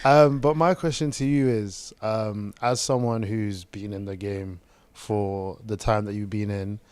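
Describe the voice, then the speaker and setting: A man from Zimbabwe, a podcast co-host, chatting in a relaxed, rambling way with many ums and likes. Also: fairly low pitch, measured pace, clean tone, dry recording. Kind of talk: casual podcast chat.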